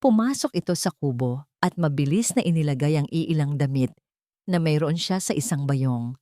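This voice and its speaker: A woman's voice: low voice, expressive, moderate pace, warm tone, dry recording, clean studio sound. A woman giving a scripted, dramatic narration of a story.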